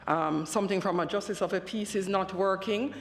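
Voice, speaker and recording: A Jamaican woman, a politician, speaking at a committee hearing in deliberate short phrases. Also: medium pitch. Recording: echoey meeting room.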